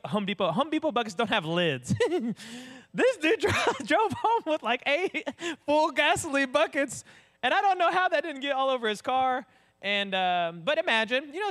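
A young man, an associate pastor with a booming voice, telling stories in a casual, animated sermon. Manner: animated storyteller, acts out voices.

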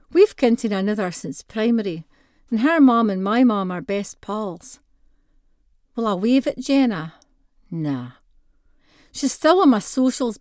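Female story reader, North-East Scottish accent, mid-pitched, expressive dialect narration, very little room sound. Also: no room sound, studio quality. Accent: North-East Scottish (Doric). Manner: expressive, acts out dialogue in dialect. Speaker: author reading own short story. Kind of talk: scripted narration, first-person storytelling reading.

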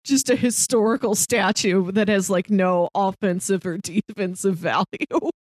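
A woman's voice: mid-range voice, unhurried, a little mellow, no echo, clean studio sound. A woman chatting casually about films as a podcast co-host, playful and wry. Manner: playful, wry, often chuckling as speaking. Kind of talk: casual film discussion chat.

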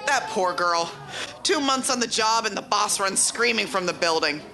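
A woman's voice: mid-range voice, animated, normal speed, creaky. A woman playing an exasperated former employee in an audio comedy, blunt and sweary. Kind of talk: scripted audio comedy acting. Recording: music under the voice.

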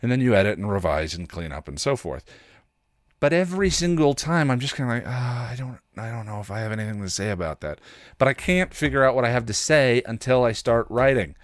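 A man hosting a podcast, talking casually in a halting, self-deprecating monologue as he thinks aloud. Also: medium pitch, expressive, no room sound, studio quality. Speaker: podcast host.

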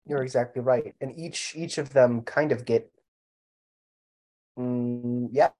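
Guy cohosting a film podcast, slow talker, average pitch, kinda halting, repeats words to stress stuff. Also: darkish tone, poor audio. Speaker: podcast co-host. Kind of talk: casual film discussion.

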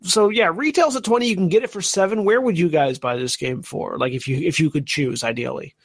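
A man with a fairly high voice hosts a relaxed review discussion. He talks in a halting, repetitive way. The sound is close and dry, with very little room in it. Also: no room sound. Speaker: podcast host. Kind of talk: casual board game review chat.

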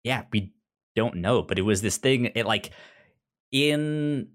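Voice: mid-range voice, animated, takes it slowly. Stammering podcast co-host in casual chat. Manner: stammering, repeats sounds and words. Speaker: podcast co-host reviewing audio drama.

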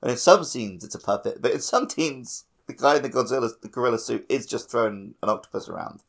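A man's voice: mid-range voice, animated, quick pace. A man co-hosting a casual gaming podcast, rambling off into dry asides. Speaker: podcast co-host.

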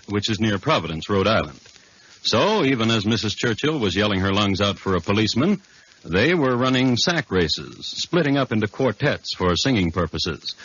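A man playing a wry true-crime chronicler, narrating a 1950s radio drama with dry, deliberate humour and a husky voice. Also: on the low side, a bit bright. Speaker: narrator and host. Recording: dull lo-fi 1950s radio recording.

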